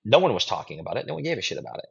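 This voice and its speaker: A man with a fairly low, husky voice gives opinion commentary as an interview guest. He is informal, emphatic and blunt. The audio is a little dull and lo-fi. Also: big pitch swings, rapid-fire. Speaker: guest commentator, political strategist and writer.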